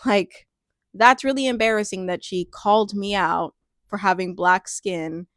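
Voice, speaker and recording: A young adult woman speaking candidly in a reflective personal monologue, thoughtful and searching, with frequent "like" fillers and soft laughs. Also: medium pitch, steady tone, a little slow, dry recording, studio quality. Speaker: personal storyteller.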